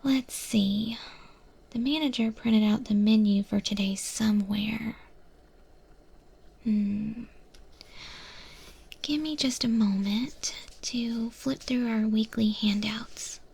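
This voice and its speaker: A woman acts out a female void monster who runs a kitchen, wry and easygoing. Her voice is a breathy, husky whisper with a dark, relaxed tone and a gravelly fry. She takes her time, pausing thoughtfully as she mentors the listener in an ASMR roleplay. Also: on the high side, heavily acted. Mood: distracted, apologetic.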